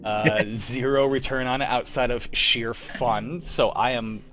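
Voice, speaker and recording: A man's voice: medium pitch, fairly even intonation, unhurried, a loud crowd. A young man hosting a livestream, chatting casually and rambling with lots of fillers. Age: young adult. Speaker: show organizer and host. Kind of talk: casual wrap-up chat on a livestream.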